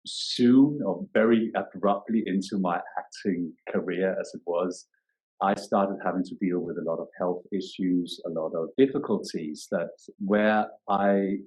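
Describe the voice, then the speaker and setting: A man, a yoga teacher talking thoughtfully in a podcast interview, often pausing and restarting as he searches for words. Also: low voice, fairly even intonation, a little mellow, poor audio. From Denmark. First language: Danish.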